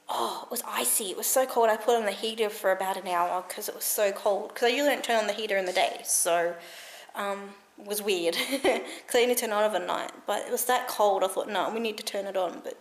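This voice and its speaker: A woman in her thirties chatting to a handheld camera at home in a rambling, giggly way. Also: a little high. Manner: rambling and giggly, many fillers. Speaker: vlogger. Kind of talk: casual chat to camera. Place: cold room at home. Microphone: handheld camera carried around the room.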